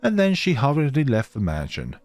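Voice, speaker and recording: A man reading a visual novel aloud in a theatrical, expressive way and adding his own playthrough commentary. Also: medium pitch, fairly quick, dry recording, faint music behind.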